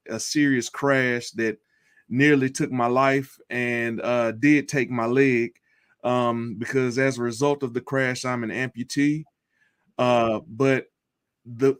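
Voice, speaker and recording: A man's voice: medium pitch, smooth. Earnest man giving personal testimony. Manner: earnest, deliberate, reflective. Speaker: guest telling his recovery story.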